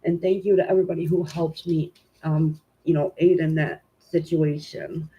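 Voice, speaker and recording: A woman's voice: deep voice, flat delivery, moderate pace, lo-fi sound. Congested woman chatting on a noisy stream. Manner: informal and chatty, many "um" fillers, greets viewers. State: congested, stuffy nose. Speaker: tarot reader hosting a live stream. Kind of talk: casual live-stream chat and card reading. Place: at home.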